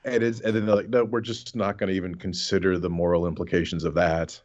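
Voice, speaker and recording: Low-voiced sardonic rambling man, slightly muffled. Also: level tone, fast, darkish tone. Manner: rambling, sardonic, sweary, stammers and repeats words. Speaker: podcast co-host. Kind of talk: casual comic ranting chat.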